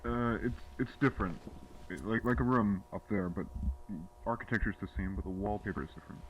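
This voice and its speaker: A man's voice: on the low side, fast talker, a little hiss or hum, poor audio. A man playing an uneasy, hesitant explorer-cameraman in a found-footage horror film, muttering naturalistically through a radio-like filter. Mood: shaken but calm. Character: uneasy male explorer cameraman in a hazmat suit.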